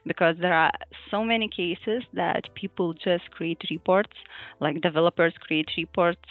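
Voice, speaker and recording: A woman's voice: low voice, dry recording. Woman presenting over a thin call line. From Lithuania. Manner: hesitant, frequent fillers and small laughs. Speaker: user group speaker. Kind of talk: online conference presentation. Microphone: video call. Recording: thin phone-like dry audio, faint music.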